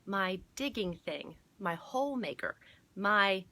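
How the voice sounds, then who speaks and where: A woman voicing a forgetful elderly male gardener in a storybook, halting as the character searches for words. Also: mid-range voice, animated, bright, forceful tone, heavily acted. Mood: searching, puzzled.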